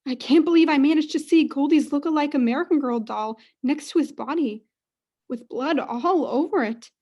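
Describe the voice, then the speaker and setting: A woman's voice: high-pitched, normal speed, warm tone, dull audio, lightly acted. A woman playing a self-absorbed, haughty mother in a student comedy radio play, theatrical and overwrought. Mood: dramatic.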